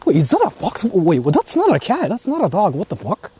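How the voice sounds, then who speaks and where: A man's voice: sits high, huge pitch swings, fairly quick, warm tone, breathy edge. A man chatting casually on a live stream outdoors, thinking aloud and repeating himself with exclamations and casual swearing. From Japan. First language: Japanese. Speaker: livestreamer host exploring on foot. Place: outdoors, walking in the countryside. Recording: noisy outdoor live-stream, ambient vehicle sounds.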